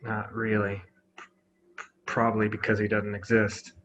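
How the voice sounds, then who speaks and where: A dull, slightly noisy recording of a skeptical tech guy, acted by a man at a table read. His voice is on the low side and his delivery is matter-of-fact. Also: monotone, unhurried, a bit bright. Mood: dry skepticism. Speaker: actor in a screenplay table read. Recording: muffled, noisy home microphone.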